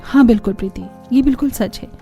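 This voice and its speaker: A woman's voice: a little high, fast, dark tone, faint music behind, close to an everyday voice. A woman voicing a weary, grumbling elder daughter-in-law with a resigned, knowing manner in a cartoon story.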